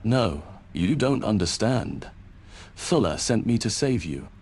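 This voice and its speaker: A man's voice: fairly low pitch, very animated, slow, darkish tone, slight background noise, heavily acted. A man voicing an earnest, principled adventurer in a fantasy game, speaking firmly and sincerely.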